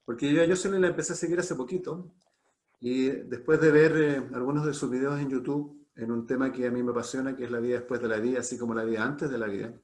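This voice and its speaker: A man's voice: medium pitch, steady tone, quick pace, a little mellow. A Chilean man casually hosting a live online talk, chatty and given to repeating short phrases. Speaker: host of an online talk series.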